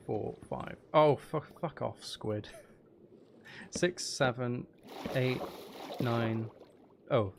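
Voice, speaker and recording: A man's voice: medium pitch, a little hiss or hum. A man giving casual gameplay commentary, muttering to himself in a playful, self-deprecating, sweary way. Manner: mutters and counts aloud to himself. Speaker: streamer.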